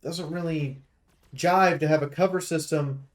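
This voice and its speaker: Higher-pitched man giving game commentary. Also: steady tone, unhurried. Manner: opinionated, blunt, emphatic. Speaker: game reviewer and streamer. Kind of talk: casual game preview commentary.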